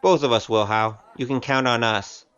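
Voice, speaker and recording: A man's voice: medium pitch, steady tone, moderate pace, fairly bright tone, clear, resonant tone, dull audio, lightly acted. A man playing an easygoing, teasing gambler hero with a flippant manner in an audio drama. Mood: earnest, determined.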